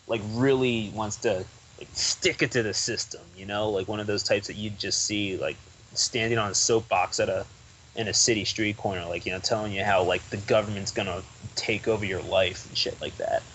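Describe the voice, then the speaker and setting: A man's voice: on the low side, steady tone, moderate pace, fairly bright tone, slight background noise, rough recording. A young man talking as a guest on a podcast, rambling loosely with lots of "like" and restarts. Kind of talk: casual interview chat.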